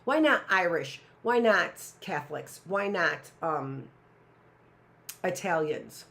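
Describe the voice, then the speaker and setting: A woman's voice: fairly low pitch, lively intonation, slow, gravelly, fairly bright tone. A middle-aged woman teaching a live online class, rambling with sarcastic asides and talking to herself.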